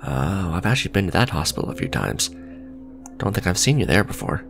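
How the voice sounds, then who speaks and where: Casting: male actor for smug businessman villain, romantic ASMR drama; gravelly fry, expressive intonation, dry conversational wit, faint music underneath. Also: sits low, a little fast, full character voice. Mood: flirty, teasing. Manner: sardonic, conversational, sighing and teasing. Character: smug male villain, suave luxury fashion businessman on a date.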